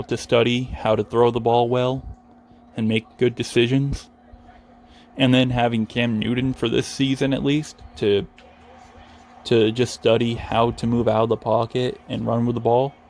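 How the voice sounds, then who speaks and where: A young American man speaks in a fairly monotone voice with plenty of gravelly fry. He rambles casually through solo fan commentary, sounding earnest and reflective. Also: mid-range voice. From New England, United States. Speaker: Patriots fan commentating over his video game.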